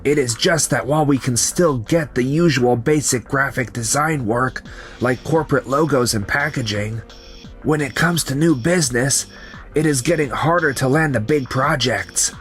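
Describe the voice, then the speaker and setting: A man's voice: medium pitch, moderate pace, fairly bright tone, lightly acted. Guy doing young office man for a manga dub, talking business, music behind him. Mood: earnest, explanatory. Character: betrayed young company founder, calm and resigned, and his coworkers. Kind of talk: manga dub acting and narration. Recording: music under the voice.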